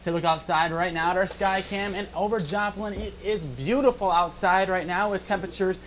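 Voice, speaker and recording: A man's voice: high voice, fairly even intonation, fairly quick, a bit bright. Guy doing the tv weather, chatty live forecast, bit of noise behind him. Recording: background noise from control room. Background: director's cues heard in control room.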